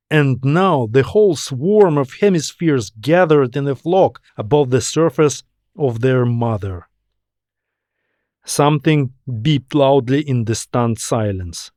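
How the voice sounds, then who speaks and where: Casting: man for sci-fi audiobook narration; measured, slow, deliberate delivery with drama, recorded close and dry. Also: mid-range voice, a little mellow, dry recording. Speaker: author and narrator. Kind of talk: scripted science fiction story narration.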